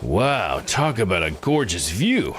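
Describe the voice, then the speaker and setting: A man's voice: sits low, big pitch swings, slow, fairly bright tone, slight background noise, exaggerated voice. A man reading the part of an easygoing, wry male sightseer in a visual novel. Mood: casual, wry. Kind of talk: visual novel character read-aloud.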